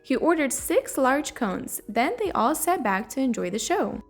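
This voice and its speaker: Woman reading a children's story aloud, expressive, lively pitch, faint background music. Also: a little slow. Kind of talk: children's read-aloud narration. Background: soft music.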